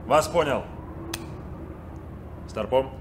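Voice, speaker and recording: An actor playing a composed, authoritative ship's captain giving crisp orders in a 1970s Soviet radio drama. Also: male voice, mid-range voice, drawn-out words. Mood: stern, controlled. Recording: noisy 1970s radio play recording.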